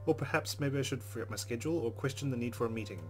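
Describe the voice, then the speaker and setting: A man's voice: medium pitch, very fast. A man playing a visual novel, reading its narration aloud and adding casual commentary. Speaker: let's player. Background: faint music.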